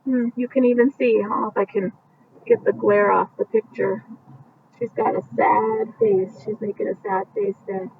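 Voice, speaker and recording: A woman reading a picture book aloud at bedtime, gently explaining the pictures with casual asides as if to young children. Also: mid-range voice, steady tone, moderate pace. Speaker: storybook reader. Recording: muffled, distant mic with faint background noise.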